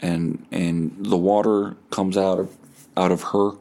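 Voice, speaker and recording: Low-voiced man telling comic stories. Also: flat delivery, takes it slowly, vocal fry, warm tone. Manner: casual, profane, acts out dialogue. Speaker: comedy podcast host. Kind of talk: comedic first-person storytelling.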